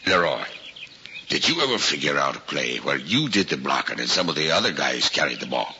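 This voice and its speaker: A man's voice: very deep voice, sing-song, brisk, sharp tone, exaggerated voice. An actor playing a kindly, fatherly working-class cab driver with an American accent and folksy, colloquial speech in a mid-century screen drama. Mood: gentle, fatherly. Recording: muffled, noisy old archival film soundtrack.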